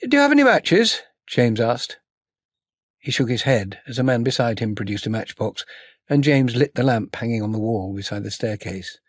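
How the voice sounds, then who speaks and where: A man narrating an audiobook in a scripted, dramatic style, with measured, suspenseful delivery. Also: mid-range voice, no echo, clean studio sound.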